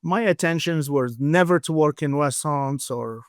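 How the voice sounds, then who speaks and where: A man's voice: fairly high pitch, normal speed, no echo, clean studio sound. A man in his fifties from Morocco, with a Moroccan accent, telling his story conversationally as an interview guest. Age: 50s. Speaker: interview guest, restaurant founder.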